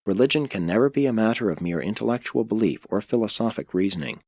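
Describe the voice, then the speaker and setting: A man reading a philosophical religious audiobook in a measured, solemn, formal way. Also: lowish voice, expressive, a little mellow, breathy edge, dry recording, lo-fi sound. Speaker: audiobook narrator. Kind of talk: scripted audiobook narration of a philosophical religious text.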